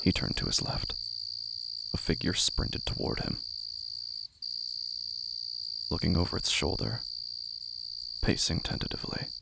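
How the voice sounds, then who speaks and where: A man reading scripted literary horror in a solemn, poetic and deliberate way. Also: a bass voice, vocal fry, very noisy. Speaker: narrator of a horror fiction podcast. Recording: prominent music behind the voice. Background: music.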